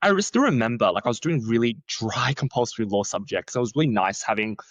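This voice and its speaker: A young man who is a researcher, talking in a chatty, animated way and filling his sentences with "like.". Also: fast, no room sound. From Australia. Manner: chatty, many "like" fillers, lively swings in pitch. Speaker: researcher, podcast guest. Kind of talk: casual conversational interview.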